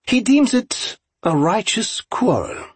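A man's voice: high voice, very animated, takes it slowly, slightly rough, dry recording, voice pushed up, full character voice. A man voicing an earnest young European aide who interprets for his master in a formal, polite way, in an audiobook story.

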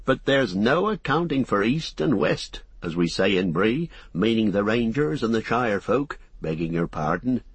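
A man's voice: fairly low pitch, big pitch swings, a little slow, hardly any pauses, breathy edge, dry recording, exaggerated voice. A man voicing a folksy middle-aged country innkeeper in a storybook reading, rambling and confiding in rustic speech. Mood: confiding, gossipy.

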